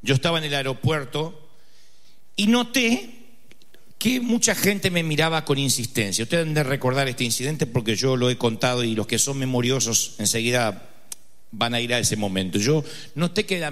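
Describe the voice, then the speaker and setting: Argentine male pastor, mid-pitch, humorous and emphatic, reverberant hall. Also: fairly bright tone. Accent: Argentine Spanish. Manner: humorous, conversational storytelling with dramatic emphasis. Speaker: preacher. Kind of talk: sermon with personal anecdote.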